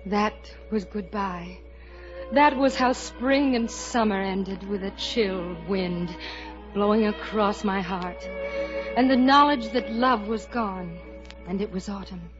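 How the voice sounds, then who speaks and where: An actress playing a wistful, devoted wife in a 1950s radio drama, speaking in an earnest, tender, dramatic way. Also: female voice, mid-range voice, takes it slowly, natural voice. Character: wistful, devoted wife looking back on lost love. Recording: muffled vintage radio recording. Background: music.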